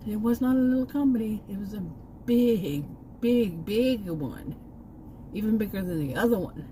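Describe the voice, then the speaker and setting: A woman's voice: medium pitch, moderate pace, background noise. A middle-aged woman from Texas with a Texan accent, telling a rambling, emphatic story about a bad experience in casual vlog style, speaking from a car. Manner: exasperated, emphatic, rambling with asides. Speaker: vlogger. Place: in a car by a park.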